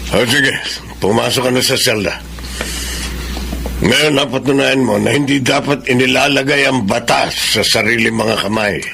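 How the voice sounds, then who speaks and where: A moralizing prison warden acted by a man in a radio drama. He chides in a gravelly, mid-pitched voice, with clear noise on the recording. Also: normal speed, close to an everyday voice. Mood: stern. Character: world-weary moralizing prison warden, chiding a convict. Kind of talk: Filipino radio drama acting.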